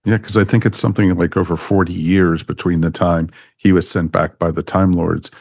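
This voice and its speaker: A man's voice: low voice, level tone, fairly quick, creaky, a little mellow, dry recording. A man hosting and moderating a casual review chat among panelists. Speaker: podcast host moderating panel.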